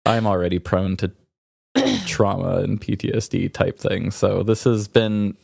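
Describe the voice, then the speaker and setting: Male podcast host, lower register, dry sound; informal, hesitant, openly emotional with grief. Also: lively intonation, unhurried, gravelly, darkish tone, slightly rough, dry recording. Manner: hesitant, self-revealing, informal. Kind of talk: candid, unscripted casual chat.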